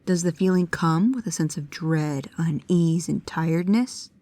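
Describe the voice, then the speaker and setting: An actress plays a bookish young female paranormal investigator in an immersive audio drama. Her voice sits a little low for a woman. She is precise and pedantic, with a nervous, hesitant earnestness. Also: measured pace, dark tone, dry recording. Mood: pedantic, curious. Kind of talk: immersive audio roleplay addressed to the listener.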